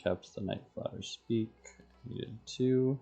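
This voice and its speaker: Low, husky man muttering over a game. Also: slow pace, warm tone, highs cut off. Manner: absent-minded thinking aloud in short fragments. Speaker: game livestreamer. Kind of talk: casual chat while playing, muttering to self.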